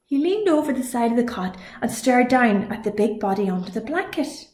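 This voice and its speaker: Female storytime reader, fairly high voice, expressive delivery for children, noticeable room echo. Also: brisk. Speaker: librarian reading a picture book. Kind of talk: children's storytime read-aloud. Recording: echoey room.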